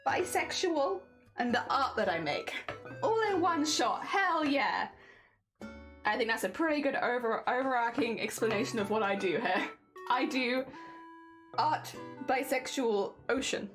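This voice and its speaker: A woman's voice: sits high, a bit bright. A young woman with a cold and asthma, wheezing as she chats with her livestream viewers while embroidering. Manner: chatty, greets and answers chat viewers, laughs often.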